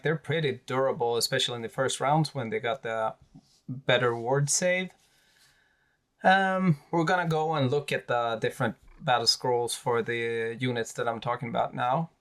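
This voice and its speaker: A man with a Swedish accent, a native Swedish speaker, talking casually through tabletop army lists with many pauses and fillers. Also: medium pitch, fairly bright tone. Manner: hesitant, many fillers and restarts. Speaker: tabletop wargaming creator.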